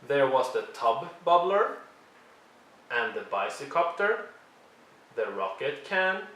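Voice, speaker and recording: A man's voice: mid-range voice, big pitch swings, pushed and bright. Man reading a children's story, roomy sound. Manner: deliberate, dramatic emphasis. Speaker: storyteller reading a picture book aloud. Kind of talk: expressive read-along narration for young children. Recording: roomy echo, faint background noise.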